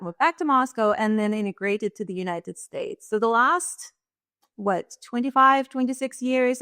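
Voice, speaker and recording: A woman's voice: fairly high pitch, a bit bright, no room sound, clean studio sound. Woman from Russia, thoughtful guest. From Russia and Kazakhstan. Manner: thoughtful, laughs lightly between points. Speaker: guest, leadership strategist. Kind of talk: interview conversation.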